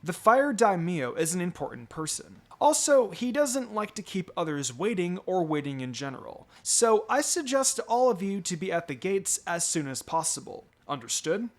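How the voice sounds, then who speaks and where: A man's voice: sits high, very animated, moderate pace, hardly any pauses. A man voicing a firm, commanding male village leader in a fan fiction reading.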